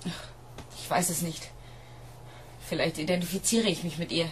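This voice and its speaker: A woman acting a cool, proud, seductive android in an intimate radio drama, with deliberate delivery. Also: fairly low pitch, steady tone, slight background noise, close to an everyday voice. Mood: cool, weary.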